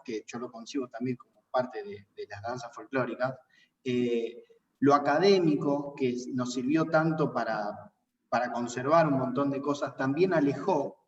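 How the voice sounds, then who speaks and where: A man's voice: medium pitch, muffled recording. A man asking an audience question thoughtfully and hesitantly. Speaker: audience member. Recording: distant echoey hall.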